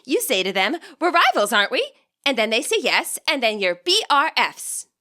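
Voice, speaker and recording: A woman voicing an excitable, earnest teenage girl who gushes and stretches her words for emphasis in an audiobook story. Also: on the high side. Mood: eager, insistent. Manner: gushing, emphatic, drawn-out words.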